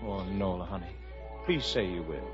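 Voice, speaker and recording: A man's voice: low voice, animated, moderate pace. An actor playing a charming, courtly young man in love, in a 1950s radio musical drama, speaking earnestly. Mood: ardent, pleading. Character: charming, courtly young riverboat gambler, an ardent suitor. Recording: muffled old radio broadcast with music.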